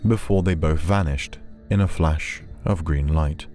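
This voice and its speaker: A man narrating a dramatic science fiction story from a script in a measured, solemn way. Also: extremely low pitch. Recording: music behind the narration.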